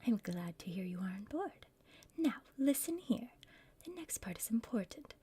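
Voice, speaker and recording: A woman's voice: medium pitch, sing-song, normal speed, mellow, dry recording. A woman playing a bossy, scheming female villain in a whispered ASMR roleplay, impatient and conspiratorial with her partner. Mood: scheming, instructing. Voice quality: breathy whisper.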